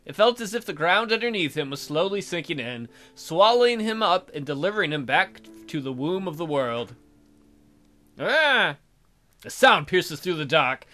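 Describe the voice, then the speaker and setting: A man's voice: a little high, sharp tone. A man hosting a let's play, reading game text aloud expressively and adding casual jokey commentary.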